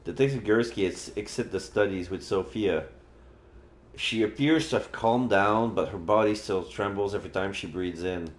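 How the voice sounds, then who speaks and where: A man's voice: lowish voice, moderate pace. A man reading a visual novel aloud on a livestream, casually adding his own reactions as he goes. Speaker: live streamer. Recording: echoey room.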